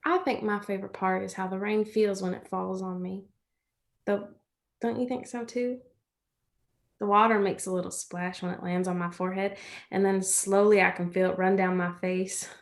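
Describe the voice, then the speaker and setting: An American woman playing a gentle, chatty rural girl in a script reading, with folksy phrasing and a playful, comforting manner. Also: medium pitch, level tone, normal speed, gravelly, lightly acted. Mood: soothing.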